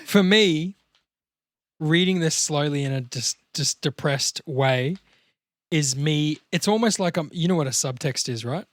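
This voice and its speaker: A man's voice: on the high side, unhurried, a bit bright, no echo, studio quality. A man chatting casually as he explains acting ideas and hosts a script discussion.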